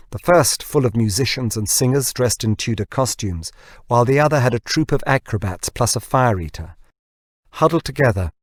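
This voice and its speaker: A man reading a novel aloud as scripted narration, in a measured and expressive storytelling manner. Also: fairly low pitch, no room sound, clean studio sound. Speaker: audiobook narrator.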